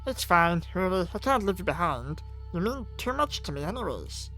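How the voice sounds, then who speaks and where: An earnest, anxious teenage girl from a visual novel, acted out by a man, speaks in a high, very lively voice. She sounds devoted and halting, with a sharp bright edge and soft music underneath. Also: exaggerated voice. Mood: tender. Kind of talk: visual novel character read-aloud.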